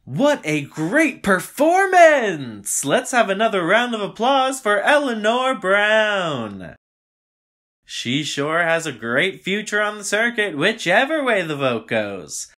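A woman's voice: fairly low pitch, big pitch swings, slow, a bit bright, exaggerated voice. A woman playing an upbeat comedy circuit emcee in an audio drama, announcing in an effusive, showy way. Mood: upbeat, hyping. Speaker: show announcer.

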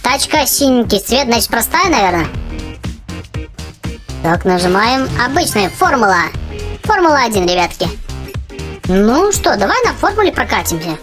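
A youthful-sounding gaming host giving excited, chatty gameplay commentary, often exclaiming and repeating phrases. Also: medium pitch, normal speed, pauses often, music in the background. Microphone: voice-over on game footage.

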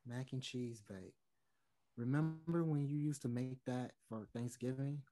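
Earnest, pleading male pop singer played by a man for a stage-play reading. Medium pitch, hesitant, apologetic. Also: a little slow, dark tone, close to an everyday voice. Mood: wistful. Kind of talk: online one-act play table read. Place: room at home, video call.

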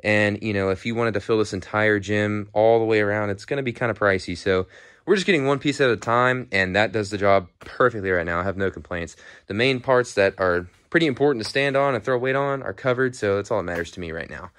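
A man's voice: on the low side, brisk, a bit bright, clear, resonant tone, dry recording, clean studio sound. A young American man chatting casually and off the cuff as a fitness vlogger, in a laid-back, rambling, slangy way. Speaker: fitness vlogger giving a home gym tour. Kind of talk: casual off-the-cuff vlog chat. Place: indoor metal-walled garage-shop gym. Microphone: handheld phone held behind the camera view.

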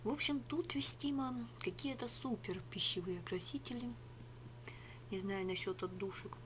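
A middle-aged woman rambling through a sarcastic product review in a home room, switching between a whisper and a soft speaking voice. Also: on the high side, normal speed, background noise. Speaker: ASMR vlogger. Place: small home room with rug on wall. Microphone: webcam at arm's length.

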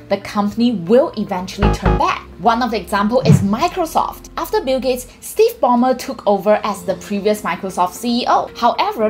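A woman's voice: sits high, a little mellow. A woman in her thirties hosting an investing channel, talking earnestly to camera in a small room and putting rhetorical questions to herself. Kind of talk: explainer vlog to camera. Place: small indoor room with bookshelf and plant.

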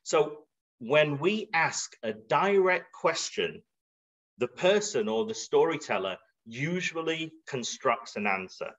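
A man's voice: a little high, animated, slow pace, a bit bright. A middle-aged man giving an informal explanatory webinar talk, conversational and a little hesitant as he thinks aloud. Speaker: webinar presenter. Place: indoor room. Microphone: video call. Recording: dull, band-limited video call audio.

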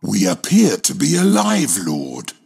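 A surly lone warrior for a game. The mid-pitched voice is husky and gritty, slow in pace, with huge swings in pitch. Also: male voice, wildly swooping pitch, a little mellow, heavily acted. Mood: surly, defiant. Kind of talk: game voice lines, dramatic acting.

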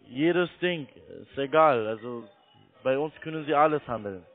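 A man's voice: mid-range voice, moderate pace, soft background music. A man who is a non-native German speaker, making an insistent, blunt sales call as a call-centre agent. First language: not German. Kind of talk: unscripted sales phone call. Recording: tinny narrowband phone line.

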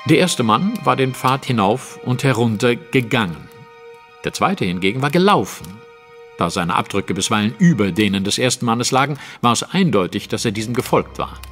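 An actor playing a confident, analytical detective in a German audio drama, setting out a case in articulate, precise, dramatic storytelling. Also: male voice, medium pitch, lively intonation, normal speed, a bit bright, faint music behind. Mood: analytical, assured. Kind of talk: German audio drama acting, narrative monologue.

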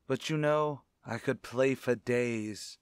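A man playing a sinister, gloating organist and murderer in an old-time radio suspense play, speaking in a deliberate, theatrical way. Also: medium pitch, smooth, no echo, studio quality, natural voice. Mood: gloating, cold. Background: music.

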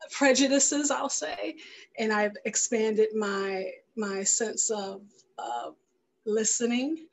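Thoughtful middle-aged woman, reflective and unhurried. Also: medium pitch, low-quality recording. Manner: thoughtful, unhurried, pausing mid-phrase. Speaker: interview guest, filmmaker and radio show creator. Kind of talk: reflective interview answer. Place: indoor room, video call. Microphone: headset on video call.

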